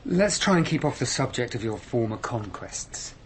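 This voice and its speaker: An actor playing a discontented, sardonic misfit in a radio drama, speaking with awkward, questioning prickliness. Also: male voice, medium pitch, very animated, normal speed, slight background noise, close to an everyday voice. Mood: awkward, guarded.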